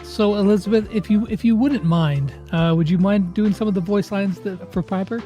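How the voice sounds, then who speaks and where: A man's voice: high-pitched, moderate pace, dark tone. A man hosting a casual interview, asking questions in an informal, joking way. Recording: music behind the voice. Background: music.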